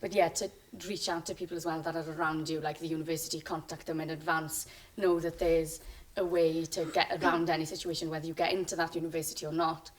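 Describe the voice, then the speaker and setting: Low, flat Welsh woman, chatty. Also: fast, hardly any pauses, recorded from a distance, rough recording. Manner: chatty, rambling. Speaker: student guest. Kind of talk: casual interview answers.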